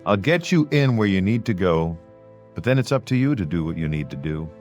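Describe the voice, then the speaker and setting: A man's voice: low-pitched, very animated, fairly quick, a little mellow, faint music behind. A man playing a wary, skeptical burglar who is no fighter, in fantasy audiobook dialogue. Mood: matter-of-fact. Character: wary male burglar, skeptical and self-protective non-fighter.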